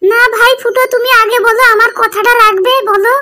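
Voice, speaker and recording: A woman voicing an aggrieved, pleading young village wife in a comedy cartoon, with a pitched-up voice. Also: fairly even intonation, fairly quick, bright, forceful tone. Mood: insistent. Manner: plaintive, insistent.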